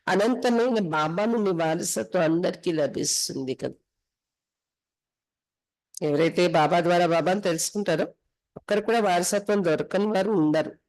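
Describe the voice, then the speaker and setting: A woman's voice: lowish voice, darkish tone, poor audio. A woman from India, reading scripture aloud and explaining it phrase by phrase as a spiritual teacher. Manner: instructive, reads then translates and explains phrase by phrase.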